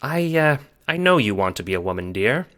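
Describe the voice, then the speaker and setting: Man putting on a high-voiced, affectionate old grandmother. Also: big pitch swings, a little fast, fairly bright tone. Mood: amused, teasing. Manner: fussy, affectionate, hooting pet-name talk. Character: stern yet warm, teasing old grandmother. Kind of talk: audio drama character acting.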